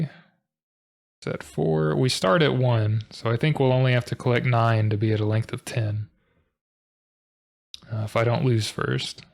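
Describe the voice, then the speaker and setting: A monotone man walks through his code aloud, low-key and thinking as he goes. His voice sits at an ordinary pitch with a gravelly, creaky texture. He sounds like a casual coding tutorial host at his desk. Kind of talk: casual screen-recorded coding walkthrough.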